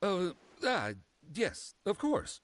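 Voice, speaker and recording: A man's voice: sits high, wildly swooping pitch, slow pace, full character voice. A man playing a reserved, hesitant brother of few words in a video game. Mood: flustered.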